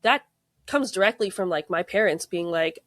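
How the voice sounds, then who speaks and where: A woman's voice: fairly low pitch, animated, moderate pace, a bit bright, dry recording, clean studio sound. A woman with an American accent, a game co-creator chatting casually in an interview, rambling with many fillers and frequent laughs. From United States. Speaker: tabletop game co-creator, guest.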